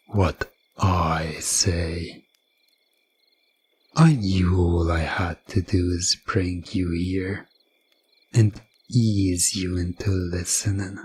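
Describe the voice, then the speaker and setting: A man playing a sinister, gloating hypnotist in a hushed, coaxing and commanding hypnosis ASMR drama. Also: sits low, slight background noise. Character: sinister, gloating male hypnotist serving a game master.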